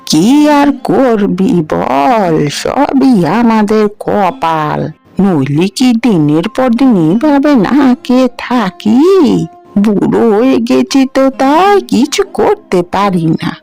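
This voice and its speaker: Woman doing a tired old village mum, slow and creaky, gentle cartoon fairy tale acting. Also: fairly high pitch, big pitch swings, faint music behind, full character voice. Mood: weary, plaintive. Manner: plaintive, gentle. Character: poor, weary, kindly old village mother.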